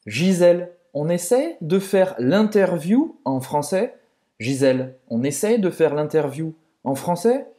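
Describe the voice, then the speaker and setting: A man's voice: a little high, sing-song, measured pace, dark tone. A Frenchman with a French accent speaking Portuguese, co-hosting a casual language lesson with short, agreeing replies. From France. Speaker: French teacher, co-host.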